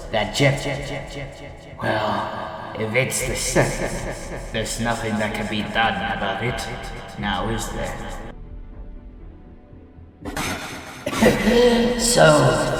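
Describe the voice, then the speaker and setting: Slow, theatrical, sly robot lieutenant. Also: male voice, mid-range voice, heavily acted. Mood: wry, uneasy. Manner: theatrical, wry, drawn-out teasing. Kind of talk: dramatic comic dub acting. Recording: long echoing reverb, music behind. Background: music.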